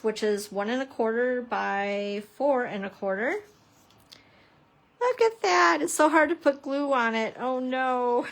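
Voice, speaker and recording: A woman teaching a live craft demonstration, chatty and prone to laughing at herself, a little hoarse as she's losing her voice. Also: a little high, fairly bright tone. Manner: chatty, giggly, self-deprecating, exclamatory. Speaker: papercrafting instructor.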